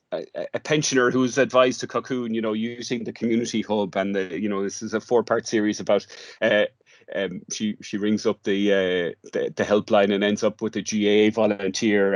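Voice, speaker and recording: A man with an Irish accent answering questions in an informal podcast interview, speaking haltingly, repeating words as he searches for the next one. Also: lowish voice, steady tone, no room sound, clean studio sound. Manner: hesitant, halting delivery with repeated words and long searching pauses. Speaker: interview guest, radio drama workshop director.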